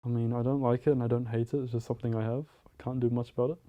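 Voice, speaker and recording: A young man, answering interview questions in a measured, matter-of-fact way. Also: medium pitch, level tone, lo-fi sound. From New Zealand. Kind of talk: documentary interview.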